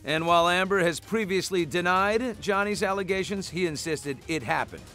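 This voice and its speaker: A man reading a scripted entertainment news voice-over with a brisk, punchy delivery. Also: high-pitched, bright, forceful tone, soft background music.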